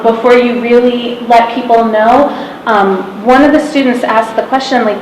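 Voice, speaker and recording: Woman panel moderator, thoughtful and hesitant, distant and echoey with faint noise behind. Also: a little high. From Massachusetts, USA. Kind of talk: panel discussion. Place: school hall. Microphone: distant room mic. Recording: echoey hall from far off.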